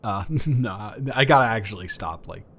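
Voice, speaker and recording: A man's voice: fairly low pitch, lively intonation, normal speed, fairly bright tone. A man improvising a romantic audio roleplay as a flirty, playfully boastful neighbor, talking straight to the listener in a teasing, stammering, rambling way. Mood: teasing, sincere.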